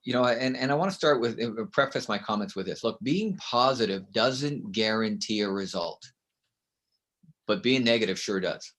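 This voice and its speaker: A middle-aged man from Canada with a loud voice, speaking as a guest in an interview. He is emphatic and tells stories, and he often ends his points with a quick "right?". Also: fairly low pitch, expressive. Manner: emphatic, storytelling, with frequent "right?" tags. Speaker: guest author and consultant. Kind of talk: conversational interview.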